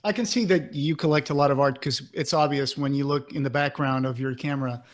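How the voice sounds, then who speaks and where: A man's voice: mid-range voice, steady tone, a little fast. A man in his thirties asking casual interview questions as a podcast co-host, close on a desk microphone in a home office. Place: home office, indoors, on a video call. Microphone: close desk microphone with pop filter.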